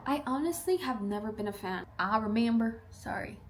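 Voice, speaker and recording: A woman's voice: on the high side, moderate pace, slight background noise. A woman of twenty-two with a South Texas accent, chatting casually and animatedly while doing her makeup at home. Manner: chatty, upbeat, animated asides and playful quoted voices. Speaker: beauty vlogger. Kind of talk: casual get-ready-with-me chat.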